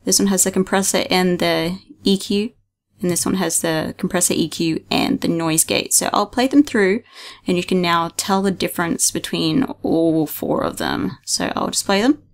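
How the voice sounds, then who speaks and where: A young woman hosting a casual screen-recorded software tutorial, talking hesitantly with frequent ums and restarts into a desktop mic. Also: fairly low pitch, moderate pace. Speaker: video tutorial host.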